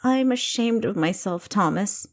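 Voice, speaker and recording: A woman voicing a shaken, ashamed young woman in an audiobook, sullen and halting. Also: medium pitch, animated, voice pushed up. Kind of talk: audiobook character dialogue.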